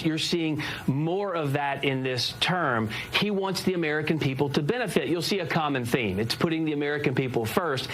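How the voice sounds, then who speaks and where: A man's voice: fairly high pitch, a bit bright. Man making political talking points in a raised-register voice, faint ambient noise.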